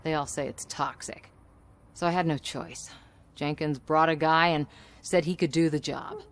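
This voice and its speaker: A woman's voice: low-pitched, steady tone, moderate pace. An actress playing a scheming, manipulative woman in charge of a commercial shoot in a video game, sly and assertive. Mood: defensive, irritated. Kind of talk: video game cutscene acting.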